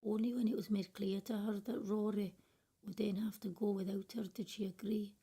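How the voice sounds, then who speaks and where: An actress plays a conscientious, judgmental care worker in a radio play. Her voice is mid-pitched, mellow and breathy. She reflects on her case in a bitter, self-questioning monologue. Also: level tone, normal speed. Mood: weary, conflicted.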